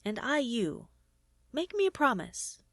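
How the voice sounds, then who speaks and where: A woman's voice: on the high side, big pitch swings, a little slow, fairly bright tone, dry recording, clean studio sound, pitched above the performer's own voice, exaggerated voice. A woman voicing a playful, pixie-like magical lady in a storybook reading, coaxing and insistent. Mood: playful, firm.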